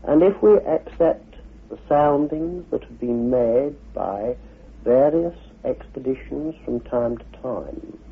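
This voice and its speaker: Male lecturer, unhurried, animated intonation, muffled lo-fi radio sound. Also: mid-range voice, creaky, slightly rough. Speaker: author and head of an esoteric order. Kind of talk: measured expository lecture. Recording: dull lo-fi radio recording.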